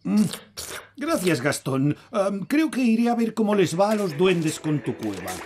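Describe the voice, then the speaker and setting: A man's voice: high voice, sing-song, measured pace. Polite but grumbly fairy king, castilian accent, super swoopy delivery, cartoon music behind. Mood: polite, reluctant. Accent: Castilian Spanish. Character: reluctant, fretful middle-aged fairy king, politely grumbling. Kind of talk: animated cartoon dub.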